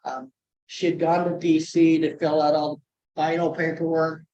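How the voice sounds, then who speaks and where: A soft-spoken man, a conservation educator, giving a chatty presentation with asides and fillers. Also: fairly high pitch, little pitch movement, normal speed, echoey room, dull audio. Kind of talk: conference presentation.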